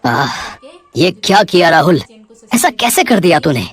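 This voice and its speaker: Man acting animated young family man. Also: high voice, a little slow, breathy edge, exaggerated voice. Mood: dismayed. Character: men of a family: earnest brother, reluctant younger one. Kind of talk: audio story drama acting.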